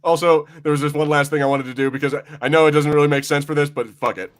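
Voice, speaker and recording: A man hosting a casual pop-culture podcast, bantering in an excitable, sweary way. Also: a little high, fast talker. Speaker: podcast host.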